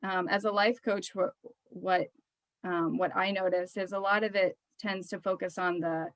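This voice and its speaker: A woman therapist speaks at a middling pitch in a thoughtful, hesitant way, with many ums. The sound is dry and close. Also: steady tone, normal speed, dry recording. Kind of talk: casual conversation.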